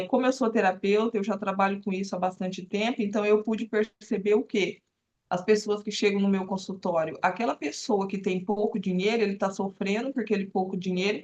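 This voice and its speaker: A woman in her forties talking on a live video call. She explains earnestly, with an ordinary mid-range voice and lots of small fillers. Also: mid-range voice. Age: 40s. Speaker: therapist guest. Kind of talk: casual live-stream talk.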